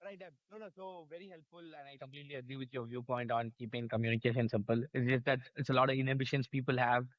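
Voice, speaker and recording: A man's voice: medium pitch, fast talker, hardly any pauses, no room sound, muffled recording. A man hosting a casual interview, agreeing briefly and prompting his guest with questions. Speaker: podcast host.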